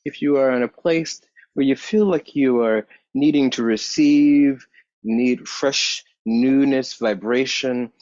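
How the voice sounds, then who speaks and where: A man guiding a yoga meditation as a teacher, speaking calmly and in measured short phrases with pauses. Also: medium pitch, dry recording. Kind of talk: guided yoga meditation instruction. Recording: dull, muffled lo-fi sound.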